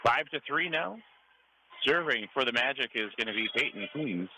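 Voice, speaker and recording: A man's voice: mid-range voice, lively intonation, moderate pace, pushed and bright. A man doing live radio play-by-play of a volleyball match in clipped running calls from courtside in a gym. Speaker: radio play-by-play sports announcer. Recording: clear gym noise behind live radio call. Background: noisy gym ambience.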